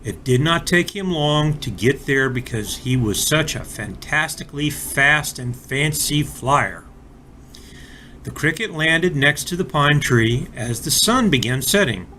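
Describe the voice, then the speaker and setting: A man reading a storybook aloud for young children, deliberate and expressive, speaking directly to his listeners. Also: fairly high pitch, slight background noise. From North Carolina, United States. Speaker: storybook reader for children, school resource officer.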